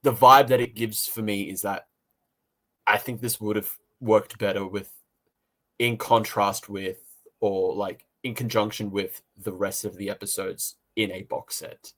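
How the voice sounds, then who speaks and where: A man with a fairly low voice talks as a co-host in an informal review discussion. He speaks haltingly, often rewording a thought midway through. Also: fairly even intonation, fairly bright tone. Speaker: podcast co-host reviewing audio dramas. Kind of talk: casual review chat.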